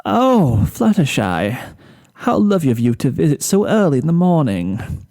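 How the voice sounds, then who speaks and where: A man's voice: on the high side, big pitch swings, normal speed, warm tone. A man voicing a fussy, theatrical, sleep-deprived lady pony fashionista in a storybook reading. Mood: strained politeness. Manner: theatrical, prim, self-pitying.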